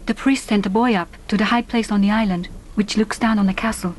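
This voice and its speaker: A woman playing a gentle, devoted young Japanese islander in a radio drama, earnest and formal in her phrasing. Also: medium pitch, fairly even intonation, fairly quick, background noise, natural voice. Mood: grave, concerned. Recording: music bed under voice. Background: orchestral film score.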